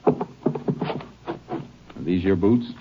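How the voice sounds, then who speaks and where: A Texas Ranger with a deep, steady voice, terse and sharp-eyed. He sounds measured and unflappable, in old radio western style. The recording is dull with a light hiss. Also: exaggerated voice. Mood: firm, calm. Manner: terse, measured, matter-of-fact. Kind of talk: 1940s-50s radio western acting. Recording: muffled, hissy old-time radio recording.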